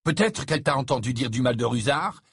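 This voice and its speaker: A man's voice: medium pitch, animated, fairly quick, fairly bright tone, slightly rough, no room sound, studio quality, pitched above the performer's own voice, exaggerated voice. A man voicing a stubborn, defensive, awkward teenage schoolboy in a storybook, speaking insistently. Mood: indignant.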